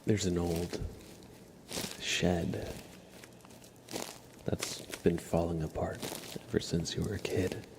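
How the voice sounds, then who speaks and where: A man speaking gently and softly, at times whispering, as an ASMR livestreamer guiding a relaxing scene. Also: deep voice, level tone. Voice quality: soft, partly whispered. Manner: gentle, unhurried, casual. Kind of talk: ASMR, soft relaxing talk and guided visualization. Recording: clear crinkling and ambient noise.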